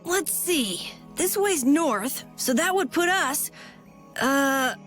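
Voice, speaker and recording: An actress playing a cheerful, chatty young mapmaker in a game skit. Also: female voice, sits high, animated, takes it slowly, fairly bright tone, soft background music, heavily acted. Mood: bubbly. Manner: bubbly, rambling.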